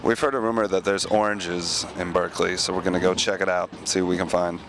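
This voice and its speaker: A man's voice: low voice, flat delivery, fast talker. A man in his thirties hosting a mock news report with a handheld mic, outdoors on a city street. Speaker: host reporter. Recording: loud street noise behind.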